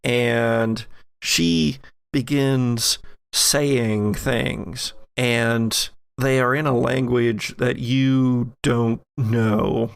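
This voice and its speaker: Male game master, heavy vocal fry, slow, halting, dry close sound, improvised horror narration. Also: medium pitch, dry recording. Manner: deliberate, halting, searching for words.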